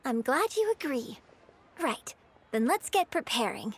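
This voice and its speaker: A woman voicing a poised, polite young female opera performer in a video game, measured and earnest. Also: high voice, very animated, husky, full character voice. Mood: earnest, composed.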